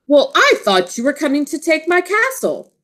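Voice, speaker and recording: A woman's voice: sits high, wildly swooping pitch, normal speed, a bit bright, voice pushed up, exaggerated voice. A woman voicing a powerful male king in a children's storybook, emphatic and declaring. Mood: conceding.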